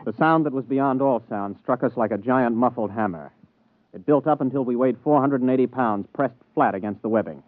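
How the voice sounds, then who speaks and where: A man's voice: medium pitch, a little fast, narrow, tinny audio, soft background music, natural voice. An actor playing a wry, flustered rocket captain who narrates his story, in a 1950s radio drama. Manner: hesitant, stammering in dialogue. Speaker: narrator and lead. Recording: old telephone-thin radio broadcast.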